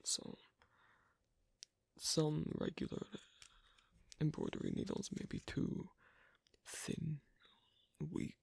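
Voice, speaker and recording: A performer voicing an asocial werewolf in an ASMR roleplay, hesitant and gently instructive, in a whispery, breathy, creaky voice. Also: mid-range voice, huge pitch swings, takes it slowly, long pauses, no room sound, full character voice. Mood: patient, explaining. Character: asocial werewolf on a first date, patiently teaching sewing.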